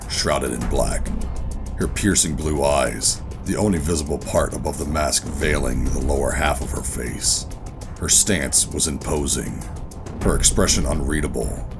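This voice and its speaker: An actor playing a hardened, wary operative who narrates a sci-fi horror audio drama in a brooding, deliberate, terse way. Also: male voice, rumbling low. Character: hardened covert operative narrating, adult man, wary and steady. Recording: music behind the voice.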